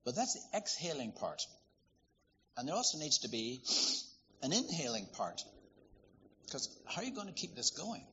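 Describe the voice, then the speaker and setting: A man preaches in a bright, forward voice, telling stories in a lively, conversational way. The recording is somewhat dull and lo-fi, with a faint hiss of noise underneath. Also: a little high, normal speed, pauses often. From Northern Ireland. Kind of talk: sermon.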